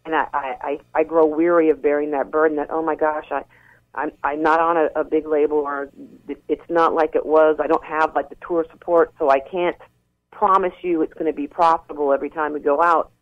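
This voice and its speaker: A woman's voice: deep voice, flat delivery, fairly quick. A woman rock singer being interviewed, talking earnestly and frankly with many restarts. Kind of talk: candid phone interview. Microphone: telephone. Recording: tinny phone line.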